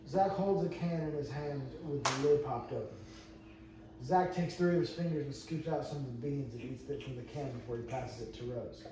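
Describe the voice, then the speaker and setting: Man narrating screenplay directions in an echoey room. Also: medium pitch. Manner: plain, stumbles and rereads phrases. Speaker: narrator reading stage directions. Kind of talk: amateur table read of a screenplay. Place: indoor room with a few other readers. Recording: echoey room, distant mic, noisy.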